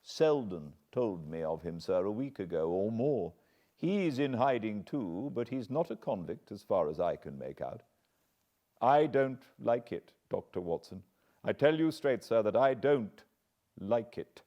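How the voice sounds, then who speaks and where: Anxious butler, as a man puts him on, English, pleading, loud and lively. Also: fairly low pitch, measured pace, muffled recording, voice pushed up. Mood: guarded. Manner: agitated, pleading. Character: anxious, earnest older male butler.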